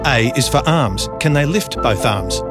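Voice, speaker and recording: A man's voice: mid-range voice, animated, moderate pace. A man reading a scripted public service announcement as a radio announcer. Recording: produced radio spot over loud music. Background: loud music.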